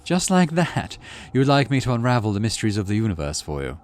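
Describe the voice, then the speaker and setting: A man voicing a calm, teasing, cryptic alien forest guide in a visual novel game, with a wry, deliberate manner. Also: lowish voice, slight background noise. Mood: musing.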